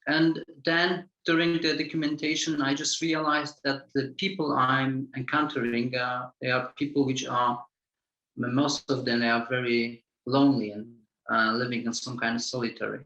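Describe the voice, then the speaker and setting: A film director answers questions in English, searching for words and circling back through repeated phrases. His voice sits at a middling pitch and carries a roomy echo. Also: little pitch movement, pushed and bright, lo-fi sound. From Poland. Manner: halting, many repeated words and restarts. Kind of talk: festival Q&A answer.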